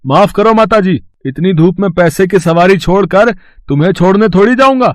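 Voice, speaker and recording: A man's voice: high voice, animated, normal speed, dry recording, clean studio sound. A man voicing a brusque, mocking rickshaw driver in a cartoon moral story, blunt and scoffing. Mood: irritated dismissal.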